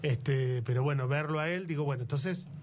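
A man's voice: fairly high pitch, normal speed, a little mellow, a little hiss or hum. A man in his sixties with an Argentine accent, a runner guest on a radio show, telling a story plainly with some fillers. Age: 60s. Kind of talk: casual radio interview.

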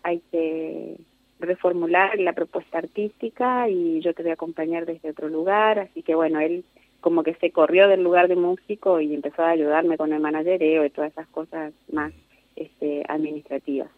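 A fifty-year-old woman with an Argentine Spanish accent, answering interview questions reflectively in measured, conversational phrases. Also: low-pitched, steady tone, no echo. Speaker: interview guest, singer and singing teacher. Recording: narrow tinny phone-like line.

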